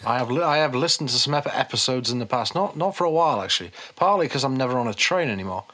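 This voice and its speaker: A man's voice: mid-range voice, lively intonation, quick pace, bright, forceful tone. A man speaking as a podcast guest, casual and blunt, giving his opinions in conversation. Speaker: podcast guest, policy economist and author.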